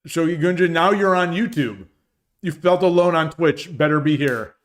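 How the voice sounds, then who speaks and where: A man chatting casually on a shogi live stream, reading viewers' messages aloud, his voice getting dry and hoarse from long talking. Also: on the high side, normal speed. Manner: rambling, many restarts, reads chat aloud.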